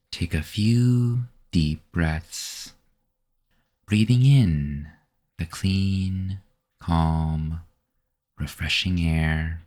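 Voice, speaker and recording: A man with a very low voice leads a guided meditation. He speaks very slowly and calmly, reading in a measured, instructive way. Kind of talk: scripted guided meditation narration.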